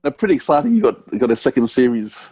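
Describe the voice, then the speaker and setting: Halting, earnest male podcast host, dry sound. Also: medium pitch, big pitch swings, dark tone, husky, dry recording. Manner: halting, searching for words. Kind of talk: earnest interview chat.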